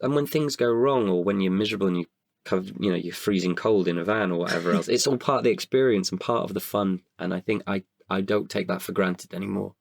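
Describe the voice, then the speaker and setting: A man's voice: deep voice, fast talker, gravelly, no room sound, clean studio sound. A British man talking reflectively in an interview, candid and thoughtful, often hesitant as he searches for words. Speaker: podcast guest, performer.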